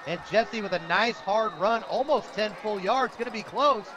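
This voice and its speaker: High-pitched American man calling football over crowd. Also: moderate pace, highs cut off. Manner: energetic, clipped present-tense calls. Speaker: radio play-by-play announcer for high school football. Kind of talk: live American football play-by-play commentary. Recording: loud crowd behind outdoor broadcast. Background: stadium crowd.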